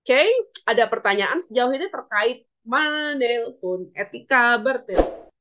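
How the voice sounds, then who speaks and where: A woman's voice: a little high, expressive, unhurried, fairly bright tone. A woman with an Indonesian accent teaching an online English class as lecturer, instructively repeating and translating example phrases.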